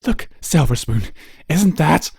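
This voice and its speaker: Man as a young filly pony, shrill high pitch, swooping, gushing valley-girl talk, storybook character. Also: animated, slow pace, creaky, a little mellow, breathy edge, no echo, studio quality, exaggerated voice. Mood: chatty, then thrilled.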